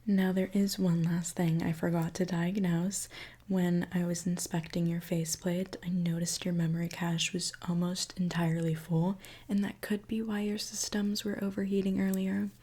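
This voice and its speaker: A woman whispering a gentle ASMR roleplay as a reassuring repair mechanic, talking softly and directly to the listener. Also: on the low side, level tone, husky. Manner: soft, gentle, reassuring, unhurried. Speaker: ASMR performer playing a robot repair mechanic.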